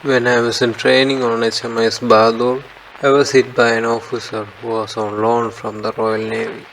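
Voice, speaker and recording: A man's voice: mid-range voice, little pitch movement, moderate pace, fairly bright tone, slight background noise, natural voice, poor audio. A man voicing a solemn, wronged sailor. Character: wronged young Indian sailor from the south, solemn. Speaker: voice of a sailor's testimony.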